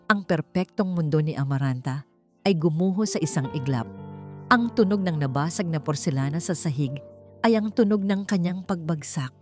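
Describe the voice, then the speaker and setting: A woman narrating a scripted romance drama in a measured, suspenseful and melodramatic manner. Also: low-pitched, lively intonation, darkish tone. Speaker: story narrator. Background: music.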